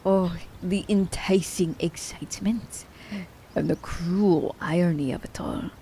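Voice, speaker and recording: A woman acts out an immortal female assassin, sly and haughty, in an intimate ASMR drama. Her voice is lowish and mellow, with plenty of fry and a breathy edge. She speaks in a theatrical, old-fashioned formal way, teasing as she goes. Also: steady tone, unhurried, slight background noise. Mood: scheming, disdainful. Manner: theatrical, formal storybook diction. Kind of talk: ASMR roleplay audio drama.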